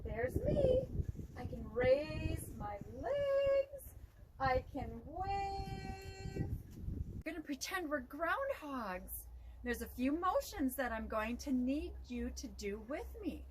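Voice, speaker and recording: A woman librarian leading a virtual story time for young children, reading aloud and guiding them through motions gently. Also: sits high, sing-song, noisy background. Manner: gentle, slow, instructive with children.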